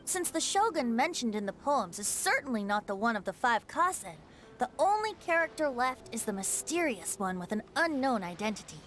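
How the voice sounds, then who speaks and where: A woman's voice: a little high, very animated, normal speed. A woman voicing a playful young male bard in a video game, knowing and theatrical in delivery. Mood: explaining. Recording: faint music behind.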